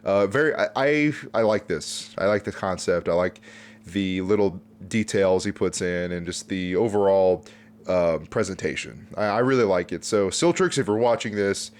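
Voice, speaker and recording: A man's voice: deep voice, moderate pace. A man hosting an online video, giving casual, off-the-cuff commentary in a chatty, informal, rambling way. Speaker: YouTube host reviewing fan videos.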